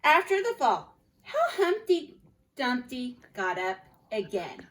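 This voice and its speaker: A teacher reading a picture book aloud to her students from home, with expressive delivery and gentle asides to the children. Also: a little high, slow, low-quality recording.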